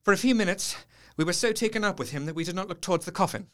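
A man playing an earnest gentleman doctor, narrating a gothic horror radio play in a dramatic, literary manner. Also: sits high, very fast. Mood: horrified, intense.

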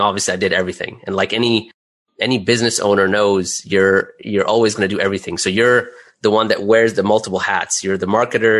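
A man with a fairly low voice talks as a guest in a relaxed interview. He explains his work conversationally, one step at a time, often checking that the listener follows. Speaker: interview guest, audio drama creator and voice actor.

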